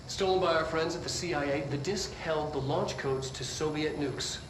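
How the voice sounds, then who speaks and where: Actor as an eccentric, higher-voiced male scientist in an echoey room. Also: normal speed, natural voice. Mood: excited, wry. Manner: theatrical, pedantic lecturer with wry asides. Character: eccentric, enthusiastic male government scientist giving presentations. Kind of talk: filmed scientific presentation. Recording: roomy echo with clear background noise.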